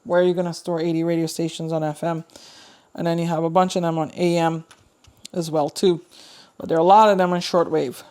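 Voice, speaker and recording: Low-pitched woman chatting through a product review. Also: flat delivery, fairly quick, darkish tone, smooth. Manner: chatty, informal, thinking aloud while demonstrating. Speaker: host of a radio review channel. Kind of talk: casual product review vlog.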